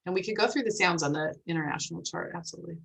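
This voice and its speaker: A woman's voice: on the low side, rapid-fire, gravelly, a bit bright. A woman hosting an online group discussion in an encouraging, explanatory way, talking casually like an academic. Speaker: host of a phonetics club meeting, academic.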